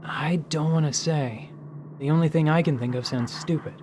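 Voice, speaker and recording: A man's voice: fairly high pitch, normal speed, natural voice. A man voicing a casual, grumbling male scout with a dry manner in a radio theatre drama. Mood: reluctant, puzzled. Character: laid-back, wry male tracker scout. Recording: faint background noise.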